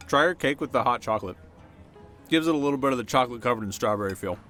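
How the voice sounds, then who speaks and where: Man playing a cocky, soft-hearted delinquent boy. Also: mid-range voice, lightly acted. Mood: friendly, proud. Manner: slangy, casual, stumbling when flustered. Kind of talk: ASMR roleplay acting, addressing the listener.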